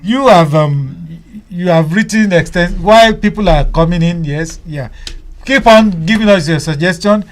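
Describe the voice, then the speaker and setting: A man hosting a live discussion show, speaking haltingly and often repeating phrases as he goes. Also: high voice. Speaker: radio talk show host.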